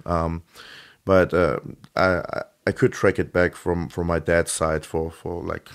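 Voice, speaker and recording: Deep-voiced man, halting with fillers. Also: little pitch movement, gravelly. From Iran. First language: Armenian. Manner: hesitant, many fillers and restarts. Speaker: guest. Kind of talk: conversational interview.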